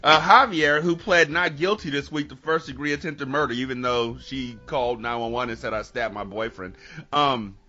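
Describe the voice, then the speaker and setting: A man's voice: medium pitch, normal speed, sharp tone, dull audio, faint music behind. A man hosting a podcast, telling stories in casual, joking, swear-filled chat. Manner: profane, joking, storytelling. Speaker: podcast host.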